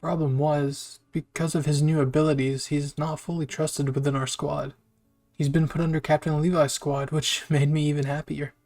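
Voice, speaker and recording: A man's voice: on the high side, level tone, normal speed, a little mellow. A man reading a scripted first-person story aloud as a narrator. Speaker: fan fiction narrator.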